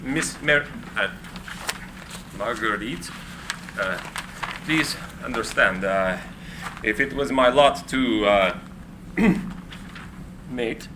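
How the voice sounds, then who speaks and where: An actor playing a shy, high-minded inventor in a script reading, speaking formally with halting stammers. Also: male voice. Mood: nervous, pleading. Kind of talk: live screenplay reading. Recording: live room recording with faint noise.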